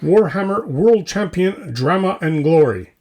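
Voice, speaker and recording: A man's voice: sits high, lively intonation, unhurried. A man hosting a scripted mock news show, delivering it like a theatrical, mock-serious newscaster. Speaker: host of a hobby news show.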